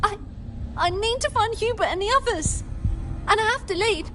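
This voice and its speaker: A woman's voice: very high voice, animated, moderate pace, fairly bright tone, soft background music. An actress voicing a small, timid but loyal and earnest helper girl in a video game. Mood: earnest, pleading.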